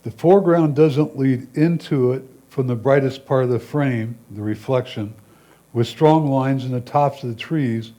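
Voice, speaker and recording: A man with a mellow, dark-toned voice of middling pitch reads written critiques aloud. He is relaying a judge's comments as a competition reader. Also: measured pace.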